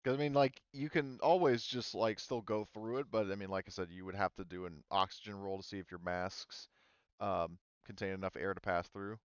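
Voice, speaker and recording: Low, smooth-voiced man running a game. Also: dry recording, dull audio. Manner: halting, informal explaining with frequent "like". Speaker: game master. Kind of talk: casual tabletop game narration.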